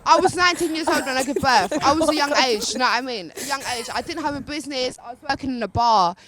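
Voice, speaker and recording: A young woman, talking loudly and brashly over others on a casual podcast. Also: sits high, expressive, quick pace, a crowd in the background. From England. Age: 20s. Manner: brash, talks over others, calls people babe. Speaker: guest. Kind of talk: loud casual podcast banter.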